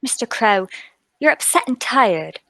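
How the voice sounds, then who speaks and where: A woman performing as a kindly, easily alarmed lady in a radio drama. She speaks slowly and gently, fussing with concern, her voice swinging expressively up and down in a dry, close sound. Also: a little high, big pitch swings, no echo, heavily acted, low-quality recording. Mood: shocked. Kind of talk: dramatic radio-play table read.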